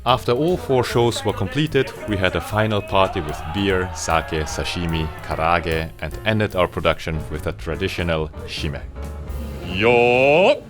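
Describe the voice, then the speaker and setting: A man's voice: deep voice, animated, unhurried, music in the background. A man from Austria, an opera director, narrating his own vlog in an earnest, appreciative way.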